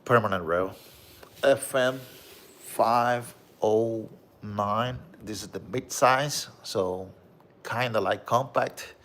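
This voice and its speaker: A man with a Puerto Rican accent hosting a casual livestream, reading viewer comments and showing off his collection, with a relaxed manner and frequent "bro" and "okay?". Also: lowish voice, slow. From Puerto Rico. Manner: relaxed, repeats "bro" and "okay?", many fillers.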